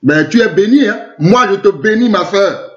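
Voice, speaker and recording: Male online preacher, Togolese French accent, high voice, emphatic and repetitive delivery, roomy echo around him. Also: lively intonation, normal speed, a bit bright. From Togo. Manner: emphatic, repeats phrases and asks rhetorical questions. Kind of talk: live online preaching with replies to comments. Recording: roomy, echoing, muffled lo-fi sound.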